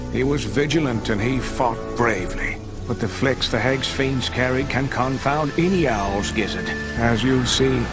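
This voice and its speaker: A solemn old owl sage, played by a man, speaks in a measured, grave tone. His voice sits in the middle of the range. Game music plays clearly behind him. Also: medium pitch, lightly acted. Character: old wise male owl mentor, solemn. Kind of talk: game cutscene voice acting.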